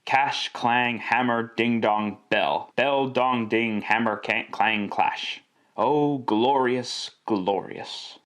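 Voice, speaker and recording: A man's voice: medium pitch, slow pace, a bit bright. A man narrating a Christmas tale in classic radio style, wry and theatrical, savouring each list and repetition. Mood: exultant.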